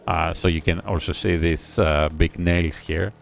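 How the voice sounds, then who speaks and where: Deep, gravelly man reviewing a music video. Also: fairly even intonation. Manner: analytical, admiring, thinks aloud with pauses. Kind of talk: casual reaction commentary. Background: faint music video playing.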